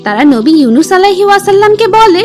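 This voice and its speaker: A woman acting as a cartoon teacher narrates religious tales for children. The voice is extremely high and moves animatedly up and down. Music plays underneath the scripted storytelling. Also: big pitch swings, fairly quick, a little mellow. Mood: instructive.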